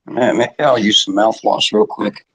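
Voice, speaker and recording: Hoarse low-voiced man with a sore throat. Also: moderate pace. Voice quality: husky. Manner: frequent throat clearing, offhand swearing. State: sore throat, losing his voice. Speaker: cannabis vlogger. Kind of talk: casual rambling chat to viewers.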